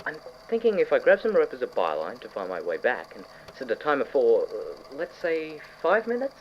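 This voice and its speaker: An eccentric, slightly unhinged male scientist, acted by a man dictates an audio-drama lab log. His pitch swings about as he muses and questions himself with blithe enthusiasm. The tape is dull and lo-fi, with clear noise behind the voice. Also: huge pitch swings, normal speed. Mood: eager, puzzled. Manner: musing aloud, rhetorical questions to himself.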